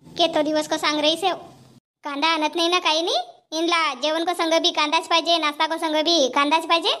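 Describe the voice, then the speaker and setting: A woman's voice: very high-pitched, little pitch movement, a little fast, bright, forceful tone. A woman voicing a complaining village housewife in a cartoon comedy, chattering and scolding with a Powari accent. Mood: exasperated, nagging.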